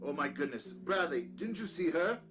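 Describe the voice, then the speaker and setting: Man acting a courteous, scheming doctor, highly animated. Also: normal speed, faint music behind. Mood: feigned innocence. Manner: courteous, old-fashioned, commanding when crossed. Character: peculiar, scheming doctor, outwardly courteous, domineering to his servant. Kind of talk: audio drama acting.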